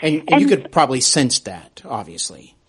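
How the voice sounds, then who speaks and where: A man's voice: medium pitch, huge pitch swings, normal speed, breathy edge. A man hosting a podcast interview in a conversational way, agreeing often and phrasing his thoughts carefully.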